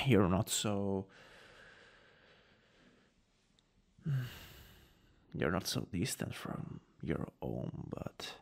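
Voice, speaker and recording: A man with an Italian accent playing a teasing, soothing country-boy lover in an improvised ASMR roleplay, murmuring intimately in a breathy, raspy whisper. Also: medium pitch, darkish tone, exaggerated voice. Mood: teasing, reluctant. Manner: slow, hesitant, intimate murmuring.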